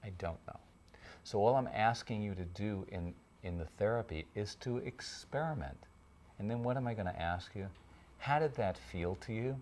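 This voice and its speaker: A man, a speech therapist, narrating a documentary in a calm, measured way. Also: lowish voice, slight background noise.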